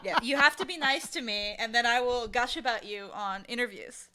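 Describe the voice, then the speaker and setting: Bright-voiced woman, chatty and enthusiastic. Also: fairly high pitch, moderate pace, rough recording. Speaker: interview guest, audio drama showrunner and dialogue editor. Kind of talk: casual interview chat.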